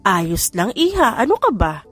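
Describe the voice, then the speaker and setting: A woman's voice: mid-range voice, sing-song, moderate pace, breathy edge, faint music behind. A woman voicing an older, wealthy matriarch in an audiobook, a firm but fond mother with an authoritative, motherly manner. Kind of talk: audiobook character dialogue.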